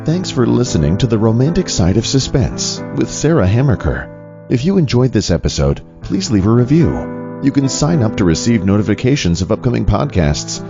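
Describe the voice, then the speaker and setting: A man reads a scripted podcast sign-off with plenty of movement in his pitch. Plucked guitar music plays clearly behind him, and the recording sounds a bit dull. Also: sing-song, moderate pace. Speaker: podcast outro announcer.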